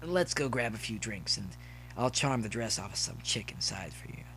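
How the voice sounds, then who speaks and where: A man's voice: fairly low pitch, lively intonation, moderate pace, pushed and bright, slight background noise. A man voicing an easygoing, cynical young male pony in an audiobook, casual and breezy. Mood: reassuring, breezy.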